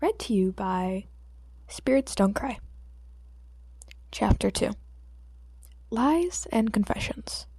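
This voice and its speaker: A woman's voice: medium pitch, lively intonation, takes it slowly, pauses often, dark tone, breathy edge, dry recording. A woman reading scripted fiction aloud as an audiobook narrator.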